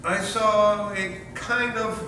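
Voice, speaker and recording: A man's voice: fairly even intonation, slow. Man playing a flustered young nephew, echoey. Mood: defensive. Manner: hesitant, self-justifying. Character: flustered, evasive young nephew, new father. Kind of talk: staged readers theater performance. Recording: echoey hall from far off, faint rumble.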